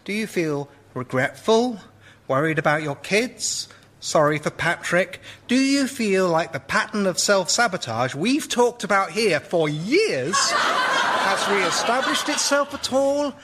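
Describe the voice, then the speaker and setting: A man's voice: sits high, big pitch swings, a little slow, pushed and bright, exaggerated voice. An actor playing an exasperated male therapist straining to stay neutral in a radio comedy sketch. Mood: strained patience.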